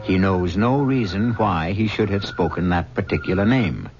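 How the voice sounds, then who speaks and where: Deep-voiced older male radio host. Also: animated, slight background noise. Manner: measured, grave, theatrical. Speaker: radio drama host and narrator. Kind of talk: scripted radio narration. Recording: dull old radio recording with music.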